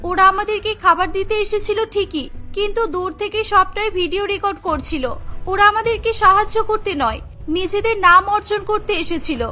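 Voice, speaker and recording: A woman's voice: very high-pitched, a little fast, bright, forceful tone. A woman voicing a proud, defiant young daughter-in-law in a cartoon, firm and vehement. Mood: firm, indignant. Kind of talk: cartoon character acting.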